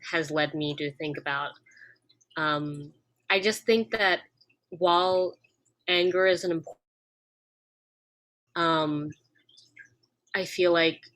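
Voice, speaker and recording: A woman's voice: low voice, a bit bright, rough recording. A young adult woman from the United States, an actor on a discussion panel, speaking thoughtfully and earnestly with frequent fillers.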